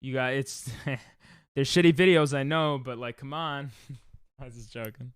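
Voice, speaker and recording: A young man with a New York accent, streaming a game and chatting casually with friends, joking and getting excitable, often trailing off mid-sentence. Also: mid-range voice, moderate pace, clear, resonant tone, no room sound, clean studio sound. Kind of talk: casual gaming stream chat.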